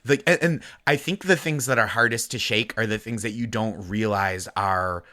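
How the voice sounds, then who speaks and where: A man's voice: fairly low pitch, normal speed. Chatty man with light Massachusetts accent. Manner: animated, chatty, laughs often. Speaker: podcast guest co-host. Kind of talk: casual chat.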